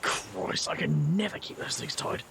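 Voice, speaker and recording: A young male magician, played by an actor, speaks with a heavily gravelled, creaky voice that swings in pitch. He is earnest and hesitant, in an audio drama with noticeable background noise. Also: big pitch swings, unhurried, full character voice. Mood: wry, uneasy.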